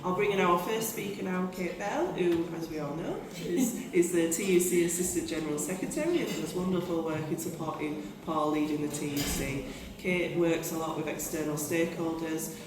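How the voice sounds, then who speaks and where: A woman's voice: lowish voice, normal speed, hardly any pauses, crowd noise. British woman chairing a meeting in an echoey hall. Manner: reads scripted text with frequent restarts and asides to the audience. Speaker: meeting chair, union general secretary. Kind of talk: chairing a conference fringe meeting, reading prepared remarks. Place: conference meeting room. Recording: echoey hall, voice from far off, noisy.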